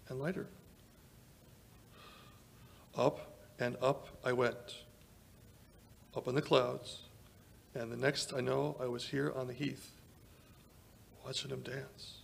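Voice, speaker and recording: Guy doing a really croaky frail old country man, dazed n halting, old radio drama. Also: medium pitch, slight background noise. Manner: halting, drawn-out delirious rambling. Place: small indoor stage, live performance. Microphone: vintage-style stand microphone.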